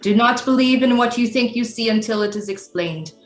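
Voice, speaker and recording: A woman's voice: on the high side, faint music behind, close to an everyday voice. Woman playing an imperious, scheming queen mother. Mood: solemn. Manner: imperious, formal, deliberate diction. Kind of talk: theatrical audio drama acting.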